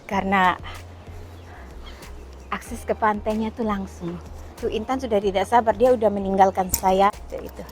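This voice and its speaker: A woman's voice: medium pitch, steady tone, normal speed, long pauses, a little mellow, faint music behind. A middle-aged woman from eastern Indonesia chatting casually as she walks along a beach, with frequent "eh" fillers and short laughs. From East Nusa Tenggara, eastern Indonesia. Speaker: travel vlogger. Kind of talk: casual walking vlog chat. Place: outdoors on a beach.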